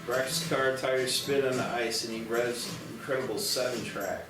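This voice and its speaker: A man's voice: medium pitch, fairly even intonation, moderate pace. Echoey man narrating over music. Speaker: narrator reading screenplay action lines. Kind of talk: scripted narration at a table read. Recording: echoey, distant mic, loud background music.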